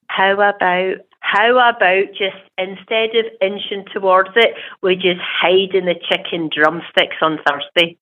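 A woman's voice: mid-range voice, fairly even intonation, measured pace, few pauses, close to an everyday voice. A Scottish woman playing a fed-up, mischievous ghost in an improvised comedy sketch, blunt and sweary. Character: fed-up, mischievous female Hogwarts ghost, centuries-weary. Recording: thin phone-like sound.

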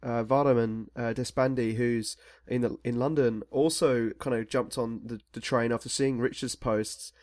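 A man's voice: medium pitch, fairly even intonation, unhurried, hardly any pauses, darkish tone, no echo, studio quality. A man hosting a tech podcast, talking in a rambling, casual way with many fillers and restarts. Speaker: podcast host.

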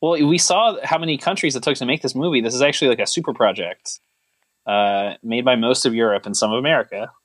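Male podcast co-host, rambling and joking in a mock-serious way, mid-pitched, dry recording. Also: fast talker, no room sound. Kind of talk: casual chat.

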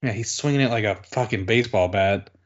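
A man's voice: fairly low pitch, fairly quick, lo-fi sound. A man co-hosting a casual watch-along, rambling with many "likes", swearing and laughing as he reacts. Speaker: podcast co-host.

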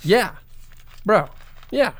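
A man's voice: sits high, expressive, extremely slow, a bit bright, husky, no room sound. A man co-hosting a casual chat, laid-back and full of fillers. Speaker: podcast co-host.

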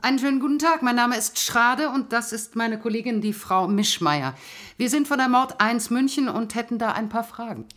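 A stern policewoman in a radio play, with a fairly high voice. She questions suspects in a confrontational, sarcastic way, full of indignation and accusation. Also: lively intonation, moderate pace, a bit bright. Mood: brisk, formal. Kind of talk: audio drama acting.

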